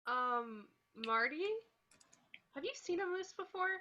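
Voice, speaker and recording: A woman's voice: high-pitched, animated, unhurried, bright, forceful tone, dull audio, exaggerated voice. A woman playing a wary, reserved young woman in an amateur table read.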